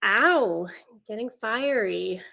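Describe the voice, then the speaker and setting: Needling, sardonic female ward patient played by a woman, gravelly fry, dull lo-fi sound. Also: on the high side, lively intonation, takes it slowly, bright, forceful tone, full character voice. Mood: mocking, taunting. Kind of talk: table read acting.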